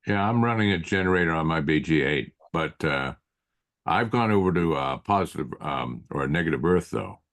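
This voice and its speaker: A man talking casually and haltingly as a club member on a group call. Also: rumbling low, animated, gravelly, a bit bright. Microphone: conference call.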